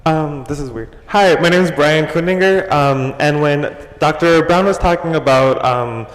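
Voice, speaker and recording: Young man at the floor mic, loud and halting, echoey hall. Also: on the high side. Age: young adult. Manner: loud, halting, restarts with many ums. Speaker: audience member asking a question. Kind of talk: question from the floor. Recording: echoey hall, long reverb.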